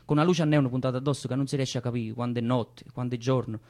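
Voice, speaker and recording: A man's voice: medium pitch, steady tone, rapid-fire, a little mellow, lightly acted. A man playing a determined, anguished militant in a radio theatre drama, with a southern Italian accent and an urgent, insistent way of talking. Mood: grave, anguished. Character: determined, anguished young militant man protecting his brother. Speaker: stage actor. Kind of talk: naturalistic radio theatre dialogue.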